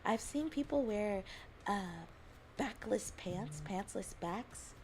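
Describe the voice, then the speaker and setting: A woman's voice: mid-range voice, lively intonation, takes it slowly, a little mellow, slight background noise. A young adult woman from Alabama chatting casually on a livestream, giggling often and rambling between thoughts. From Alabama, US South. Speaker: streamer.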